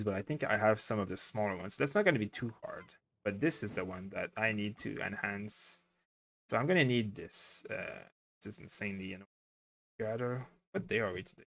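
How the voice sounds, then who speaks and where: French-Canadian man, low voice, rambling. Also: fairly bright tone. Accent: Quebec French. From Quebec. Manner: rambling, thinking aloud with many fillers. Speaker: game streamer. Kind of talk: casual livestream chat.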